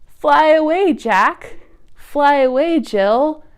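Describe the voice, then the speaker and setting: A woman's voice: high voice, animated, extremely slow. A woman hosting a preschool storytime with songs, rhymes and reading, speaking in simple, encouraging phrases to small children. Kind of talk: preschool storytime with singing, rhymes and read-aloud.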